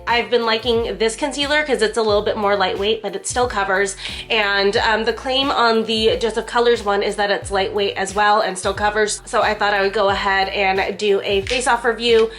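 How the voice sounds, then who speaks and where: A woman's voice: mid-range voice, a bit bright. A young woman reviewing makeup in a chatty vlog, sounding congested and nasal from the flu and filling her talk with "like". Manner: chatty, lots of "like" fillers. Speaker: beauty vlogger. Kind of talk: casual product review.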